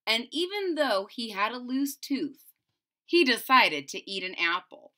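A woman reads a picture book to children in an expressive, dramatic way. Her bright voice is high and swoops widely, and she stops for chatty asides. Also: unhurried. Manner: expressive, dramatic, addressing young listeners. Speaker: storybook reader. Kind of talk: animated read-aloud for children with asides. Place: small furnished room at home, indoors.

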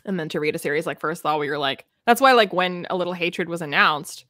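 A woman's voice: fairly low pitch, fast talker, pushed and bright, no echo, clean studio sound. A young woman chatting casually about books on a livestream, with a wry, informal way of telling things. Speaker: book discussion co-host. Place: small book-lined room at home, indoors.

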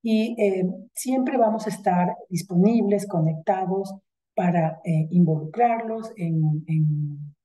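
A middle-aged woman giving closing remarks on a video call, measured and hesitant, with many fillers. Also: lowish voice, mellow, poor audio. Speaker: institutional representative. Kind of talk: closing remarks at an online event. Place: indoors with virtual background.

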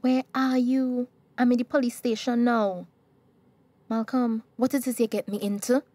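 Actress as a worried young tobago woman in a radio play, high voice, indignant, dry close sound. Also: level tone, moderate pace, mellow, no room sound, close to an everyday voice. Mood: anxious, upset. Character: wronged, anxious young woman protesting her innocence. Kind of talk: radio drama acting.

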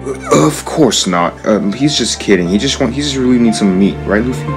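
A man's voice: medium pitch, animated, fairly quick, natural voice. A man voicing an exasperated young pirate crewmate in an anime parody fan dub. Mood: nervous. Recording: loud music behind the voice.

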